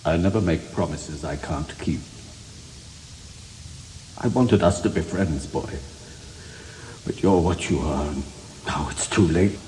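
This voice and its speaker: A man's voice: low-pitched, measured pace, pauses often, warm tone. An actor playing a stern, ailing father, grave and reproachful, in a radio play. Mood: cold, disappointed. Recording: long reverb, faint background noise.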